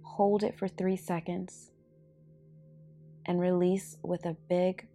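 A woman leads a guided meditation in a calm, soothing voice that sits fairly low and stays quite even. There is noticeable vocal fry in her measured delivery. Soft meditation music plays behind her. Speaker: podcast host and meditation guide.

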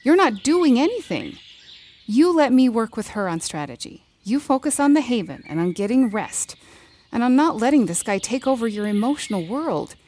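Blunt, animated woman lawyer. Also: fairly high pitch. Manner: blunt, commanding, slangy. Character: brash, protective woman lawyer, confident strategist. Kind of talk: fiction podcast audio drama acting.

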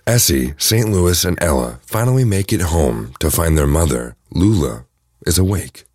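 A man's voice: sits low, lively intonation, normal speed, a little mellow, no echo, clean studio sound. A man narrating an audio drama from a script, setting each scene.

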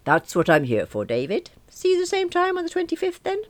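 Casting: friendly young man running an office, lilting and raised in pitch , a woman reading him. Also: huge pitch swings, fairly quick, no room sound, heavily acted. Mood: genial. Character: cheerful young male office boss.

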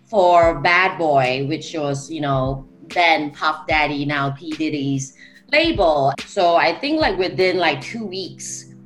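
Low-voiced woman chatting about her career. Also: animated, slow, soft background music, low-quality recording. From Singapore. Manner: chatty, filler-heavy with "like" and "you know". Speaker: music executive panelist. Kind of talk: casual panel talk.

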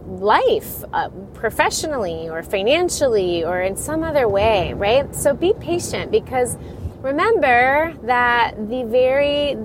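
A middle-aged woman hosting her own podcast, stuffy from a cold, talking in a rambling, chatty way and often laughing. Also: a little high. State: congested from a cold. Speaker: podcast host and divorce coach. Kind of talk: casual solo podcast talk. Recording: audible background noise.

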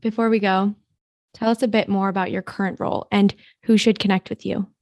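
A dry, echo-free recording carries a woman co-hosting a podcast. Her pitch is average and stays very even as she puts questions to a guest. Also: a little fast. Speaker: podcast co-host, interviewer.

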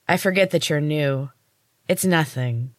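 A woman's voice: sits low, measured pace, dry recording. Storybook rebel guide played by a female narrator: strange woman, amused and knowing, very dry recording. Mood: wry, knowing. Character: strange older rebel woman, amused, smoking, guiding a newcomer.

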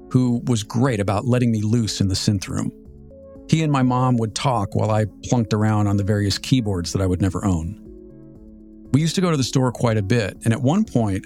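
A man in his fifties with an American accent, telling a scripted personal story as a podcast host in a measured, reflective, articulate way. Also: medium pitch, a little mellow. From Southern California, United States. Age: 50s. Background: music.

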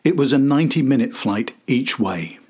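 Older man narrating, slightly husky. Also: medium pitch, animated, moderate pace, a little mellow. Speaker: podcast host and narrator, former journalist. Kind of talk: scripted documentary narration.

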